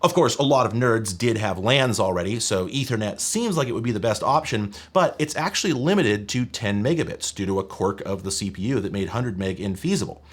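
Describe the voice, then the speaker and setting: A man's voice: lowish voice, animated, fairly quick, few pauses, husky. A man hosting a tech explainer video in a conversational, wry, nerdy way, as if talking straight to viewers. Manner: casual, wry, nerdy technical detail. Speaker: host of a retro tech video channel.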